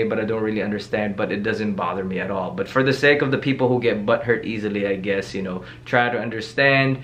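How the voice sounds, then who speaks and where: A man's voice: mid-range voice, fairly bright tone. A man in his late twenties from the Philippines, a native Tagalog speaker with a Filipino accent, talking casually to camera in an upbeat, chatty way and often asking "okay?". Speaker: YouTuber and Army medic. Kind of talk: casual vlog talk to camera.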